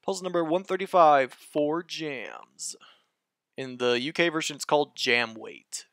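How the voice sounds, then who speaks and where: Excitable man narrating a puzzle game. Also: fairly high pitch, measured pace, no room sound, clean studio sound. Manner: excitable, gushing, reads hints aloud. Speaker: let's-play host. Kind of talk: puzzle game walkthrough commentary. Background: game music.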